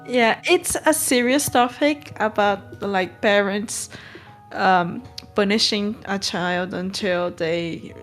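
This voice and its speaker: A woman's voice: mid-range voice, slow, soft background music. A woman chatting casually and informally about a book, a little hesitant. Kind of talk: casual chat and reading aloud.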